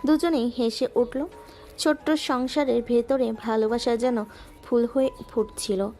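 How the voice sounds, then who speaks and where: A woman narrating a romantic audio story in a gentle, measured way, with soft music underneath. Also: fairly high pitch, fairly even intonation.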